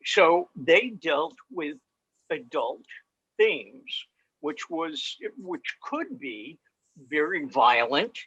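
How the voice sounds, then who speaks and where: Male presenter, slow and halting, husky edge, informal talk over lo-fi video call. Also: fairly high pitch, a bit bright, no room sound. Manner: halting, with long pauses. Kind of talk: informal talk on old radio shows. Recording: dull, lo-fi video call audio.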